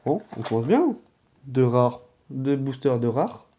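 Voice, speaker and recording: Male vlogger with a cold: unhurried, deep-toned, dark and soft delivery, mumbles asides mid-task. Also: slightly rough. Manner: relaxed, drops to muttered asides while handling cards. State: has a head cold and sore throat. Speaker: card-opening vlogger. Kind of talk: casual chat while opening card packs.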